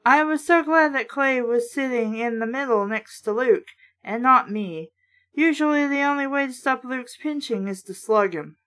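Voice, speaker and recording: Casting: woman book critic, higher-pitched voice, sardonic and sweary, moving between read-aloud and offhand remarks. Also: moderate pace, fairly bright tone. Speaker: host reading and critiquing a children's book. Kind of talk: read-aloud with sarcastic casual commentary.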